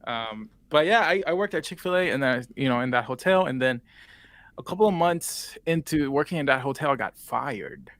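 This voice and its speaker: A man's voice: medium pitch, lively intonation, normal speed, fairly bright tone, no room sound. A man in his twenties from Puerto Rico, with a Spanish accent, telling his story as an interview guest in a rambling way with many fillers. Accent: Puerto Rican Spanish. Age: 20s. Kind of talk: casual interview chat.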